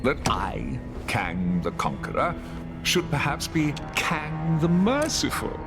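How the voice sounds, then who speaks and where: An actor voicing a pompous, theatrical conqueror villain in a video game, speaking deliberately and grandly. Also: male voice, on the high side, very animated, over music. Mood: grandiose.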